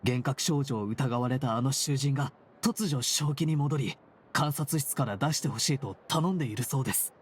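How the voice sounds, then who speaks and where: A man's voice: medium pitch, normal speed, a little hiss or hum, close to an everyday voice. A man voicing a polite, anxious young subordinate in an anime game, deferential and formal as he reports. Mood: anxious, apologetic.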